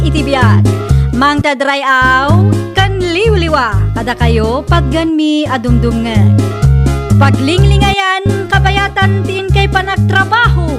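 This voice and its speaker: Very high, slow female announcer over loud music. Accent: Ilocano. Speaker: programme announcer. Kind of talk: scripted radio drama announcing.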